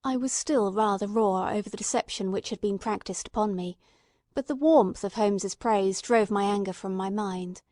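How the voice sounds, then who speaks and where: A woman's voice: medium pitch, moderate pace, warm tone, no room sound. A woman reading scripted literary narration as an audiobook narrator.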